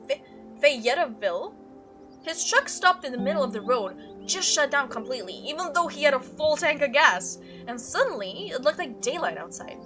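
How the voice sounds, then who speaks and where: Woman voicing a high, excitable young cryptid hunter. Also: exaggerated voice. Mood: eager storytelling. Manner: animated, gushing storytelling. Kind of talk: visual novel character read-aloud.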